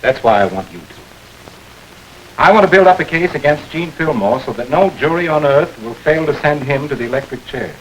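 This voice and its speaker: A man playing a firm, measured police investigator in a 1940s radio drama, recorded with old radio hiss. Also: on the high side, animated, lo-fi sound, close to an everyday voice. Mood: stern. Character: stern middle-aged male police investigator. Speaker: police lieutenant.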